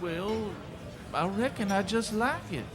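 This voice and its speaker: Naive, scrawny drifter played by a man: high, very changeable pitch, hesitant drawl, live western radio drama. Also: animated, slow pace, fairly bright tone, heavily acted. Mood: meek, naive. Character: scrawny, simple-minded, meek male drifter, ex-Union soldier. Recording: live stage with audience noise.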